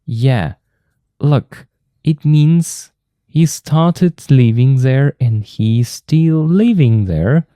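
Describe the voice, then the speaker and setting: A Russian-accented man walks learners through grammar points at an unhurried pace, repeating each key term. His voice is mellow and lively in pitch, with a dry, close sound. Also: dry recording. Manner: slow, patient, repeats key terms. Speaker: English teacher. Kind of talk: explaining grammar from a textbook.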